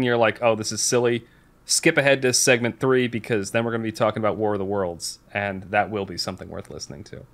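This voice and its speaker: A man speaks as a podcast host in an easy conversational style, with a middling pitch. He is candid and rambling, often breaking into his own sentences to rephrase them. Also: fairly bright tone. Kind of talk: casual podcast chat.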